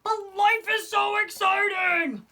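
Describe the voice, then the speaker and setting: A woman voicing an over-eager young boy shark from a storybook, with loud, drawn-out exclamations. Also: extremely high pitch, steady tone, gravelly, pitched above the performer's own voice, exaggerated voice.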